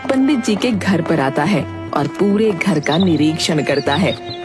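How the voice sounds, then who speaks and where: A woman narrates a children's story in a scripted storybook style. Music plays clearly behind her voice throughout. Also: low-pitched, expressive, a little slow.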